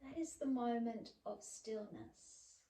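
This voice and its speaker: A woman guiding a yoga relaxation class as teacher, speaking slowly and soothingly with long pauses. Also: on the high side, warm tone. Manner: slow, soothing, with pauses and breathy sighs. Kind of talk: guided yoga relaxation instruction. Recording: echoey room, distant mic, faint background noise.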